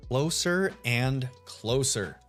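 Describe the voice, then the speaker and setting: A man's voice: expressive, takes it slowly. A man hosting a live game stream, chatting casually with viewers and greeting them by name. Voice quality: deep radio-style voice. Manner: laid-back, chatty, greets chat members by name. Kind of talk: live stream chat while shiny hunting. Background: soft lo-fi music.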